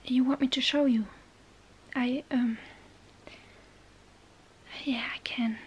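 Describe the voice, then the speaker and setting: A woman playing a bookish, exasperated young witch in an ASMR roleplay, halting and bossy, often whispering. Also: high voice, fairly even intonation, slightly rough, dull audio, heavily acted. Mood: anxious, coaxing. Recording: faint background noise.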